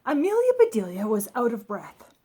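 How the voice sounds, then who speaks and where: A woman teacher reading a children's story aloud expressively, pausing to explain in an emphatic way. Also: a little high, huge pitch swings, warm tone, husky.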